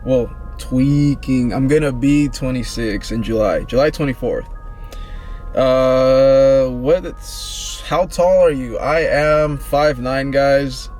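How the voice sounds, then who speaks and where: A man in his twenties, vlogging in a relaxed, rambling, slangy way, answering questions about himself. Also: mid-range voice, slow pace, faint music behind. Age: 20s. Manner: laid-back, rambling, slangy. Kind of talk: casual get-to-know-me chat.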